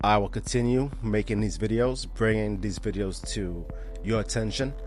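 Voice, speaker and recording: Laid-back low-voiced podcast host man. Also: fairly even intonation, normal speed, a little mellow. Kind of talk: casual channel sign-off.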